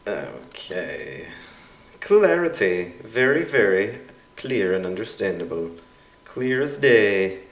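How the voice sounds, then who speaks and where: A deep-voiced young man, a university instructor whose first language was Russian, reads his course evaluations aloud and riffs on them with wry, self-mocking asides. He speaks casually and loosely, with plenty of fillers. The sound is roomy and distant from the mic. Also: big pitch swings, slow, a little hiss or hum.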